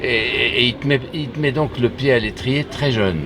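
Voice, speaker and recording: A man's voice: medium pitch, normal speed, fairly bright tone. An older man interviewing a guest, articulate and building long explanatory sentences. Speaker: interviewer, motorsport journalist. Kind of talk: conversational interview. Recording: audible background noise.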